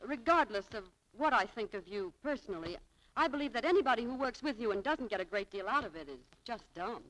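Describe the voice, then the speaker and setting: Young shopgirl, wheedling, spirited, touchy, bright forward voice, 1940s radio drama. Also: fairly high pitch, animated, quick pace, low-quality recording. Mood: eager, flattering. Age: young adult. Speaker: radio actress in a romantic comedy. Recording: vintage 1940s radio broadcast.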